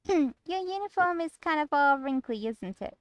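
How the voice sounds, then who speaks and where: A woman's voice: high voice, lively intonation, measured pace, dry recording, voice pushed up. A woman voicing a cheerful, pushy young female coworker in a visual novel, coaxing and sweetly teasing. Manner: bubbly, coaxing. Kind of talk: visual novel character acting.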